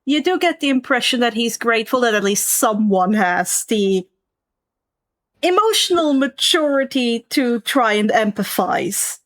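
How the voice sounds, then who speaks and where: A high-pitched woman's voice, slow and measured, in a dry recording. She narrates a tabletop game as the game master, describing each scene. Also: expressive, no echo.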